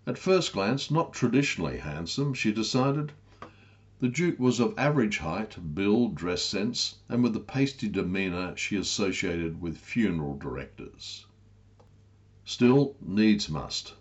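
A man's voice: fairly low pitch, big pitch swings, a little slow, highs cut off. A man reading a comic story as a scripted narration, in a wry, literary manner. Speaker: author reading own story.

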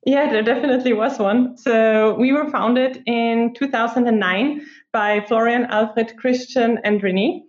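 A woman in her twenties with an Austrian accent, chatting informally as an interview guest and peppering her answers with filler words like "like". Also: on the high side. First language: German. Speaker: podcast guest, app marketer. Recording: muffled, roomy remote recording.

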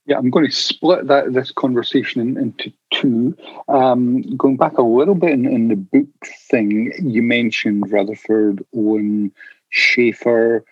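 A man's voice: mid-range voice, fairly even intonation, unhurried, breathy edge, no echo, dull audio. A man interviewing someone in an informal, conversational way, thinking aloud as he puts his questions.

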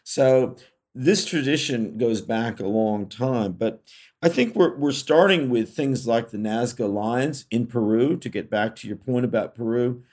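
A man's voice: medium pitch, measured pace, darkish tone. A middle-aged man from California, co-hosting a podcast in casual, reflective conversation full of fillers and restarts. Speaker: podcast co-host.

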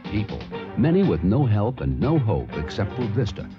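Low male announcer over music. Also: wildly swooping pitch, normal speed. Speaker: public service announcer. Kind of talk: scripted, earnest announcement narration. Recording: dull radio recording over music.

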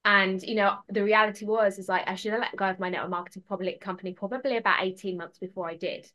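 A woman's voice: mid-range voice, fairly even intonation, quick pace, sharp tone. A woman speaking as a podcast guest in a casual, conversational way, earnest and emphatic, repeating phrases for stress. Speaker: podcast guest, personal transformation mentor.

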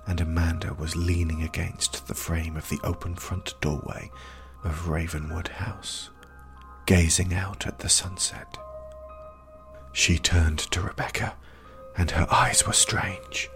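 A man narrating a scripted gothic horror story in a hushed, grave, measured manner. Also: deep voice, fairly even intonation, in a whisper, music in the background.

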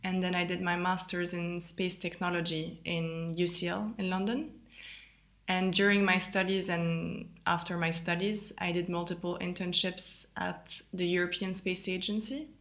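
A woman's voice: lowish voice, little pitch movement, normal speed, a bit bright. A young French woman who grew up in Italy, a native French speaker, explaining her work as an interview guest. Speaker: podcast guest, ground systems engineer.

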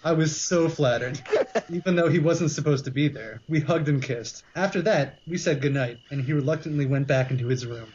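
A man's voice: on the high side, brisk, a little mellow, muffled recording. A man giving an amused, comic dramatic reading of fan fiction as a podcast co-host. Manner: amused, breaking into laughter while reading.

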